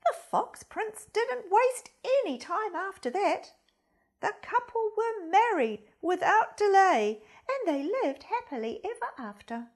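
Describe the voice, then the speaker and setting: A woman storyteller reading a children's storybook expressively, with theatrical, deliberate phrasing. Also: very high-pitched, big pitch swings. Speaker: storyteller, primary school reader.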